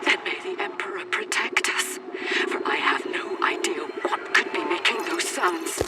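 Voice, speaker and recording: A man's voice: sits high, normal speed, loud music behind the voice. An actor playing a frightened, urgent man sending formal, pleading distress transmissions over radio in a sci-fi game. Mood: fearful, hushed. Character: frightened, desperate male radio broadcaster in hiding during invasion.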